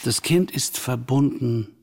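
An actor playing a solemn older mentor in a radio play, giving instruction deliberately in a husky, gravelly voice. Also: male voice, mid-range voice, darkish tone, slight background noise. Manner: slow, deliberate, didactic. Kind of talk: radio play acting, quoted teachings. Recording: long reverb with music behind.

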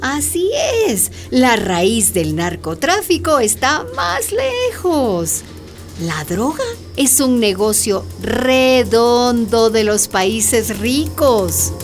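An actress playing an earnest, didactic town mayor with a Latin American accent and emphatic key words in a radio drama. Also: female voice, fairly high pitch, very animated, drawn-out words, faint music behind, full character voice. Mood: firm, insistent. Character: earnest, worldly-wise female town mayor, explaining patiently.

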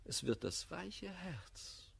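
A wounded, idealistic lover in a classical radio play. His voice is very raspy and takes its time. He declaims the verse emphatically, rising and falling widely in pitch. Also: big pitch swings, slow pace, heavily acted. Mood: torn, pleading. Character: passionate, wounded, idealistic man, proud misanthrope in love. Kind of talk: German classical verse radio drama acting.

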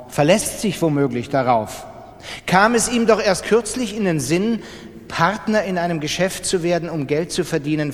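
An actor playing a 19th-century gambling writer, reading his letter aloud in a confiding, conversational way. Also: on the high side. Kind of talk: dramatic literary reading of a letter. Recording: reverberant hall.

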